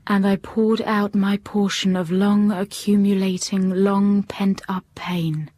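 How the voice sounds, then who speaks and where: Reflective period heroine narrating. Mellow, slow, even voice, confiding and melancholy. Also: female voice, mid-range voice, dry recording. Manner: measured, confiding. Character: reflective, melancholy English heroine recounting her past. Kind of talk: literary first-person narration.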